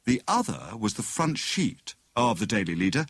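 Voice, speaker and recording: A man's voice: on the low side, very animated, normal speed, fairly bright tone, husky, no room sound, clean studio sound. A man reading a mystery story as a scripted audiobook narration.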